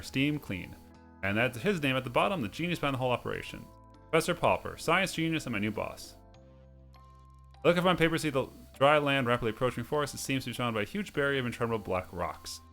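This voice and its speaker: A man's voice: mid-range voice, lively intonation, fast talker, a bit bright. Man with game music behind him. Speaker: host of a video game playthrough series. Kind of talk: let's play commentary and reading game text aloud.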